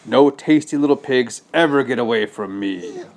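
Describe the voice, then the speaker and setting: A man voicing a boastful, hungry big bad wolf in a storybook, with howling, drawn-out threats. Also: medium pitch, animated, a little mellow, close to an everyday voice. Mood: boastful, frustrated.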